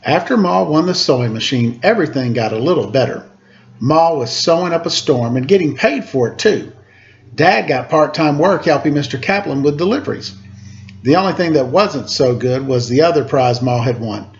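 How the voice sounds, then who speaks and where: Higher-pitched man reading a storybook aloud. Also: animated, moderate pace, dull audio, slight background noise. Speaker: storyteller, teacher. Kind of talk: children's picture book read-aloud.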